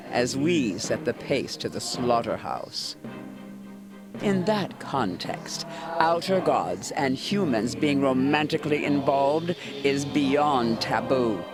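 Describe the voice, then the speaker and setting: A man voicing an ominous eldritch god in a video game, a stern elder uncle speaking formally and deliberately with condescending menace. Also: a little high. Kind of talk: theatrical video game villain monologue. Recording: music behind the voice.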